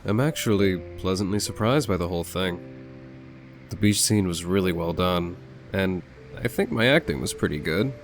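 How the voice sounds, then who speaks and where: A man's voice: low voice, animated, normal speed, soft background music. A man playing a serious, composed, politely earnest young man in an anime fan dub. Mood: earnest, measured.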